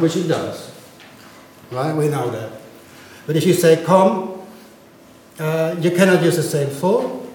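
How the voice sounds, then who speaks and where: Dutch-native male lecturer, patient explaining, very echoey hall, faint background noise; steady, mid-pitched voice. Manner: explanatory, checks understanding with frequent "right?". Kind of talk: university lecture on linguistics. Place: lecture room.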